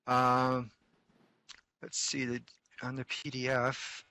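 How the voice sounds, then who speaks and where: A man runs a casual script read-through and explains the plan in a halting way, working things out as he speaks. His voice has a bright, forward edge at an ordinary pitch. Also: monotone. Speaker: organizer of a script reading group. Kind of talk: casual group chat.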